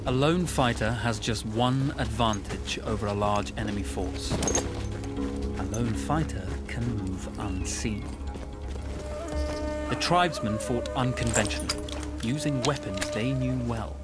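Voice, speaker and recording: A man playing a composed British officer, narrating a desert war in a measured, solemn way for a video game. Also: mid-range voice, over loud music, lightly acted. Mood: calm, knowing. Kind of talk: scripted dramatic narration.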